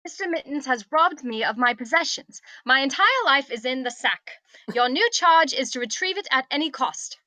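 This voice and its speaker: A woman's voice: sits high, lively intonation, moderate pace, pushed and bright, no room sound, rough recording. A woman playing a haughty, bossy, petulant young princess in a comedic script read, with an imperious, commanding manner.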